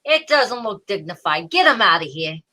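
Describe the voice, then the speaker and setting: Male cop role played by a woman: bossy, slangy, blustering, forceful bright tone, very animated, for old-time radio detective drama. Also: medium pitch, moderate pace. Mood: irritated bluster. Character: gruff, bossy male police detective, fond of the sleuth.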